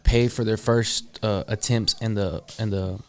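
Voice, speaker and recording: A man's voice: lowish voice, fairly even intonation, measured pace. A man in his early twenties, a barber, talking in a casual group chat and rambling with lots of "like" and "you know.". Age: 20s.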